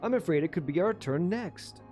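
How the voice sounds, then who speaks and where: A man reads as a sardonic, argumentative gentleman from a classic novel. His voice is fairly high for a man, and faint music plays behind it. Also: lively intonation, a little fast. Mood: sardonic, questioning. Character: sardonic Russian gentleman arguing at dinner, smiling through frown.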